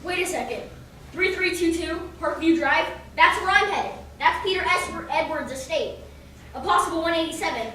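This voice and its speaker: A woman's voice: very high voice, moderate pace, a bit bright. A woman playing an earnest male police detective in old-time radio drama style. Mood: concerned, businesslike. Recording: very echoey hall, distant mic, faint noise.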